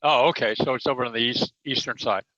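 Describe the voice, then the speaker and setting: A man's voice: medium pitch, steady tone, fast, sharp tone. A man from New Orleans with a New Orleans accent, chatting casually, joking and laughing often. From New Orleans, Louisiana. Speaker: meeting participant. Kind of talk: casual chat. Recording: dull lo-fi audio.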